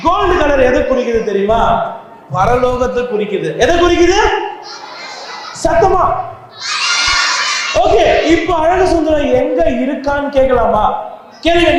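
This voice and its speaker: A Bible class teacher fires loud, lively questions at children and waits for them to shout the answers back. The voice rings through a very echoey church hall with a long reverb tail. A crowd of children can be heard clearly behind it. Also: sits high, background noise. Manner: loud, animated, calls out questions to the kids.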